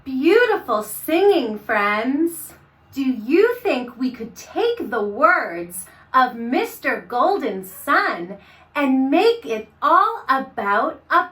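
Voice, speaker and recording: Lady doing story time for little kids, high voice, really slow pace, encouraging and animated. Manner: animated, encouraging, addresses young listeners directly. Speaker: children's story time host. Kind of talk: reading and singing aloud to young children.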